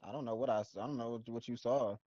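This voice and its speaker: A man riffs on a show in loose, slangy chatter, often breaking into laughter. His voice sits mellow and dark. The recording is dry and somewhat muffled. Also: mid-range voice, steady tone, fast, no room sound. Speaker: co-host of a reaction channel. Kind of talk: casual reaction chat.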